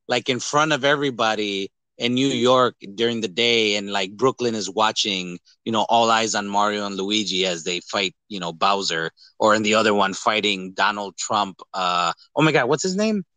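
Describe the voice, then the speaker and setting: A man's voice: mid-range voice, a bit bright, dry recording. A man co-hosting a podcast, talking casually about films in a rambling way and acting out quoted lines. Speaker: podcast co-host. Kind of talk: casual movie discussion.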